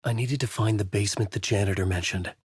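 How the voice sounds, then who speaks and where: Husky middle-aged guy, brooding noir narration, dry close sound. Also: fairly low pitch, quick pace, no echo. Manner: brooding, noir-like inner monologue. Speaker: video game protagonist, a writer. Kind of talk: hard-boiled game narration.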